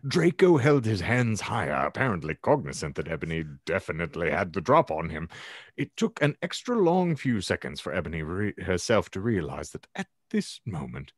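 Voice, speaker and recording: Man, podcast host, dramatic story reading, very low pitch, lively intonation, close dry mic. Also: normal speed, breathy edge, no echo. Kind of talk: dramatic reading of fan fiction. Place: home room, on a video call. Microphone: close podcast microphone.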